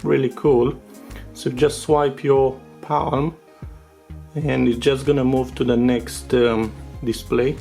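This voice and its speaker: A man, a native Romanian speaker, giving a casual, unscripted product overview in English as a tech reviewer, with halting, filler-filled delivery. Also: medium pitch, flat delivery, creaky, warm tone. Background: music with guitar behind the voice.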